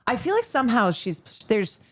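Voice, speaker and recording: A woman's voice: a little high, sing-song, moderate pace. A woman guest in a casual chat, teasing and playful in her banter.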